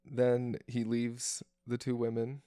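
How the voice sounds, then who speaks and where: A man's voice: mid-range voice, completely flat delivery, takes it slowly, mellow, no echo, studio quality. A man casually talking through a film's scenes with a co-host, describing shots and framing in detail. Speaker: podcast co-host. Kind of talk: casual film discussion.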